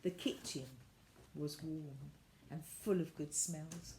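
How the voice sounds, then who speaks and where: A woman reading a children's story aloud, with deliberate, expressive narration. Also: sits low, slight background noise, low-quality recording.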